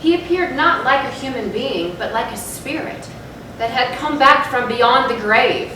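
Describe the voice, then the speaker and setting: A woman giving a measured, solemn dramatic narration of a gothic story in a stage reading. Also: on the high side, expressive. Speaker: narrator in a readers' theatre. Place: live theatre stage, recorded from afar. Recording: very echoey, distant, noisy hall.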